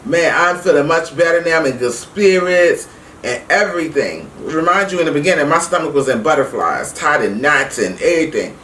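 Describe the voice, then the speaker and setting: A middle-aged woman telling a personal story casually to her viewers, emphatic and animated, acting out the dialogue as she goes. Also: sits low, a little fast. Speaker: vlog host. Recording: roomy, distant mic with faint noise.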